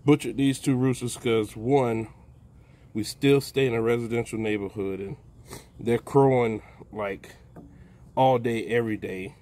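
An older man giving a relaxed, folksy how-to narration outdoors in a backyard while he works with his hands. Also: fairly low pitch. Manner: folksy, relaxed, talks while plucking, calls out "baby". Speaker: backyard homesteader. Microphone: phone camera held close, hands-on filming.

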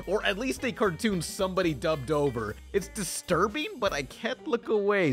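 A man hosting a review show, reading a scripted, sarcastic and mocking commentary. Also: high voice. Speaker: host of a cartoon review show. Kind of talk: scripted review narration with sponsor read. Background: music bed.

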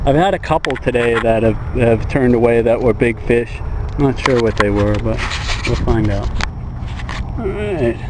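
A man in his forties on a small fishing boat, chatting excitedly to an action camera and his dog about his catches. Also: medium pitch, unhurried, heavy background noise. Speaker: fishing vlogger. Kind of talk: casual outdoor commentary to camera and dog. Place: small fishing boat on open water outdoors. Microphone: action camera at close range.

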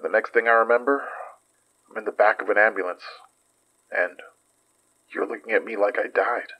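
A man playing a weary, wounded, self-deprecating detective in a horror audio drama, halting and sighing with a husky, breathy voice. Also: on the low side, fairly even intonation, muffled recording, lightly acted. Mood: weary recounting. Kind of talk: horror audio drama acting, confessional narration.

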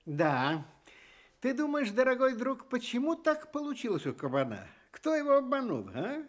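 A friendly children's radio storyteller, high in pitch and very animated, speaking coaxingly and expressively to young listeners. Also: male voice, normal speed, full character voice. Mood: friendly, inviting.

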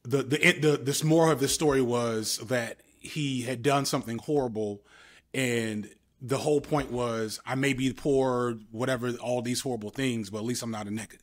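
A man's voice: mid-range voice, steady tone, normal speed. A man in his thirties on a headset video call, thoughtful and rambling with many fillers. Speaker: panel guest. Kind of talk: casual political chat. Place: home room, green wall. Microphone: headset, laptop video call.

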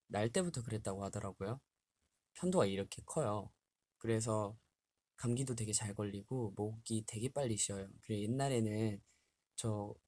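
A young man talks casually to his viewers from a small bare room, reading health advice aloud between easy laughs. His voice sits low with a gravelly edge. The sound is dry and close, picked up by a desk webcam. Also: no echo. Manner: chatty, reads advice aloud, laughs often. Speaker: livestream host. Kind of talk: casual live chat with fans. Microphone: webcam at desk distance.

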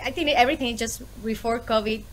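A woman in her thirties with a Puerto Rican accent, a podcast guest chatting on a video call from home, lively and full of fillers. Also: on the high side, fast talker, slight background noise. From Puerto Rico. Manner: lively, laughs often, many fillers. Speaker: guest, small business owner. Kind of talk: casual interview on a video call. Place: home room with bookshelves.

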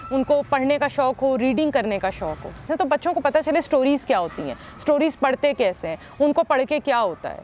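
A young woman explaining a children's reading program in an interview outdoors, calm and explanatory. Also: high-pitched, slight background noise. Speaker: library program staff. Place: outdoors beside a mobile library.

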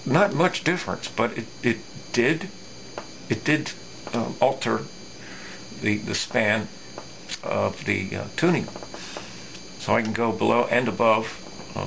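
A middle-aged man giving a casual hands-on technical explanation, thinking aloud haltingly with folksy asides. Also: medium pitch, creaky, a bit bright, a little hiss or hum, rough recording. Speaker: radio repair hobbyist presenting. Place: indoor electronics workbench.

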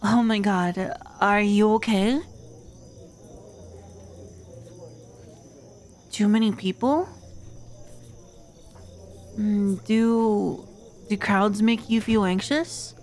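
A woman voicing a caring, friendly young gyaru girlfriend in a comfort roleplay audio, with a gentle, reassuring, casual manner. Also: medium pitch, slow pace, long pauses, natural voice. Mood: bubbly, then concerned, reassuring. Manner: gentle, soothing, giggly, casual. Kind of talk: roleplay audio drama, intimate comfort scene.

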